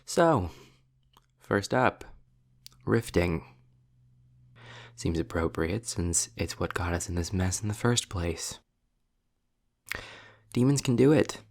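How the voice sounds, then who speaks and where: A man playing a sarcastic, worldly demon in an intimate audio roleplay, speaking in a low, gravelly, breathy voice with dry, deliberate pauses, often slipping toward a whisper. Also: lively intonation, darkish tone, exaggerated voice. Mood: smug, instructive. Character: sarcastic, worldly male demon, trapped, grudgingly explaining.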